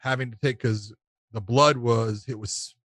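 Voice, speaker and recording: A man's voice: mid-range voice, fairly even intonation, a little slow, a bit bright, dry recording. A man co-hosting a casual sports podcast, a former referee who stresses his points by repeating them.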